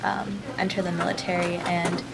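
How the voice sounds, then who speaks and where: Young woman, low gravelly flat voice, measured answers, noisy street. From Philippines. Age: young adult. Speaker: interviewee, student. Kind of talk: interview answers. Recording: loud outdoor background noise.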